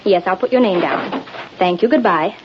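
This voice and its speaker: A woman's voice: lowish voice, animated, normal speed, lightly acted. A woman playing a courteous, efficient young office secretary in a vintage radio drama. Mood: polite, businesslike. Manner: courteous, businesslike. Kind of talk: 1930s radio drama acting. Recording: muffled, hissy vintage radio recording.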